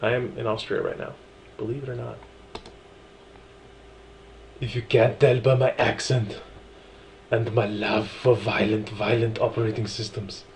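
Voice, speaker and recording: A man casually talking through a software setup tutorial, relaxed and chatty with frequent ums and small asides. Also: fairly low pitch, measured pace, long pauses, a little hiss or hum. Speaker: tutorial presenter. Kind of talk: screen-recorded software walkthrough.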